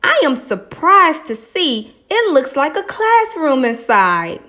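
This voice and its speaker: A woman voicing an earnest schoolchild in a classroom play, with exaggerated, clearly enunciated delivery. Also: sits high, big pitch swings, takes it slowly, pushed and bright, voice pushed up, heavily acted. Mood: eager. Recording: slightly dull lo-fi recording.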